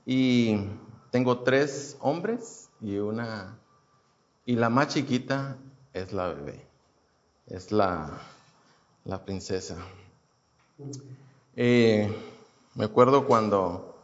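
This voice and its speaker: A man's voice: fairly low pitch, slow, pauses often, lo-fi sound. A man in his thirties from Houston, telling his personal faith testimony in a casual, hesitant way with many fillers and restarts. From Houston, United States. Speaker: churchgoer giving a testimony.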